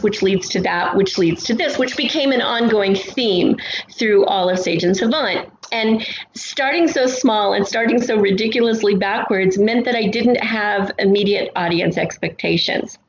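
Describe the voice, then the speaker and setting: A woman talking casually and reflectively as a guest writer in a podcast interview. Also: medium pitch. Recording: roomy, dull lo-fi, faint noise.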